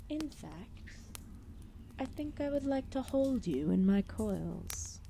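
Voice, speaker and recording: Slow, dark-voiced serpent goddess, acted by a woman. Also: on the high side, big pitch swings, slight background noise, exaggerated voice. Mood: gentle, affectionate. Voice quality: soft, breathy, often dropping into whispers. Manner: slow, deliberate, formal phrasing with long pauses. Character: calm, solemn serpent deity, ancient and gently possessive. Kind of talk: ASMR roleplay acting.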